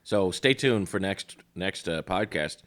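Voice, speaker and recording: A man co-hosting a podcast in casual, chatty talk, his voice slightly shot and hoarse. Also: low-pitched, expressive, a little slow, a bit bright. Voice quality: slightly worn, tired-sounding voice. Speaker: podcast co-host.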